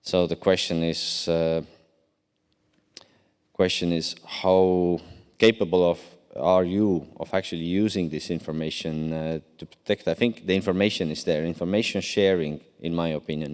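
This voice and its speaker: A man from Estonia answering on a conference panel, speaking haltingly with many fillers and restarts. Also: deep voice, steady tone, darkish tone. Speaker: deputy cyber commander on a panel. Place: large conference hall. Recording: long hall reverb.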